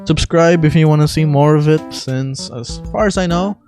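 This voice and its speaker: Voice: medium pitch, moderate pace, darkish tone. Self-deprecating young host over music. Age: young adult. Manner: self-deprecating, casually sweary. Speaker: video host and amateur voice impressionist. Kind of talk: casual spoken announcement to viewers.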